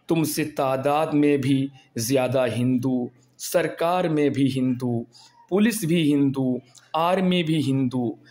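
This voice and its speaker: A hoarse man recording at home, giving an impassioned, preachy monologue that builds to angry rhetorical questions. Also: on the high side, a little mellow. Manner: preachy, rhetorical, rising to anger. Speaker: video blogger.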